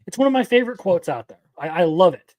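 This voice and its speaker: A man's voice: sits high, sing-song, fast talker, a little mellow. A man in his twenties giving casual live-stream commentary as an anime news host, opinionated and rambling, answering his chat as he goes. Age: 20s.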